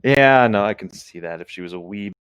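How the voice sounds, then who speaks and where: A man's voice: on the low side, a little fast, a bit bright, smooth, no echo, clean studio sound. A man co-hosting a casual reading of a story, adding chatty asides as he goes. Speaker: co-host reading a story aloud with commentary.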